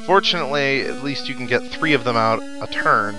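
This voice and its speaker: Wry man commentating over loud game music. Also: mid-range voice, animated, brisk. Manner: wry, chatty asides. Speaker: let's player. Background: video game music.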